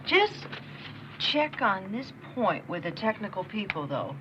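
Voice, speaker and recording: An actress playing a confident, assured sales manager, in a 1980s training film. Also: female voice, mid-range voice, big pitch swings, unhurried. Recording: muffled film soundtrack with hiss.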